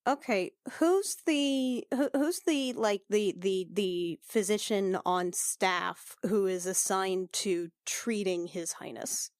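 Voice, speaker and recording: A woman's voice: medium pitch, slow pace, hardly any pauses, darkish tone, dry recording, clean studio sound. Woman playing a flustered, exasperated fantasy medic. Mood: businesslike. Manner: stammering, repeating herself, probing. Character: brisk, worried female medic noblewoman, exasperated.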